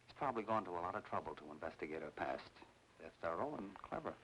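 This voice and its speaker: A low-voiced, cynical private detective. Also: male voice, expressive, quick pace, a bit bright, slight background noise, low-quality recording. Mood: cynical, wry. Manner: matter-of-fact, wry, hard-boiled. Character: cynical, seasoned male private detective, former magician. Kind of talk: mid-century film noir acting.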